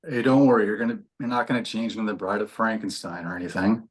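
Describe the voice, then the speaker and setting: A man's voice: lowish voice, quick pace, slightly rough. A man playing a young, wry, reassuring doctor in a horror script table read. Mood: reassuring, breezy.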